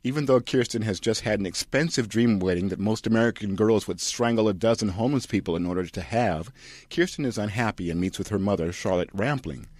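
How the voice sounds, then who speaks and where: A man's voice: lowish voice, fairly quick, darkish tone, no echo, clean studio sound. A man reading scripted comedic narration as a host, in a snarky manner. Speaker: host and reader.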